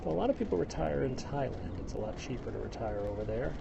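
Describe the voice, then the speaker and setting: A man's voice: mid-range voice, sing-song, fast talker, creaky, muffled recording, heavy background noise. A man from Illinois with a Midwestern accent, casually chatting to viewers while he walks outdoors on a mountain road. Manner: chatty, explanatory, answering viewers. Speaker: vlogger live-streaming a hike. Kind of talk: casual walking livestream chat.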